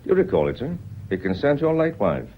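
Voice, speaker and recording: A man playing a shrewd, courteous British police inspector in a radio drama, speaking in a measured, deferential, explanatory way. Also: medium pitch, wildly swooping pitch, a little hiss or hum. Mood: deliberate, probing. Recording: dull, lo-fi old radio recording.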